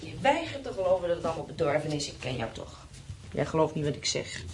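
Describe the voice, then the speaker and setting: An actress playing a restless, distrustful and wistful wife in a Dutch radio play, with a needling, musing way of talking. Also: female voice, sits low, sing-song, fast, a little hiss or hum. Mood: scornful. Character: restless, thirsty wife scavenging an abandoned house, distrustful and wistful.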